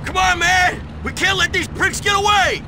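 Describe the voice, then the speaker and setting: A man's voice: extremely high pitch, steady tone, normal speed. An actor playing an urgent, hot-headed male outlaw biker in a video game cutscene. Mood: urgent, shouting. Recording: very loud engine and action noise.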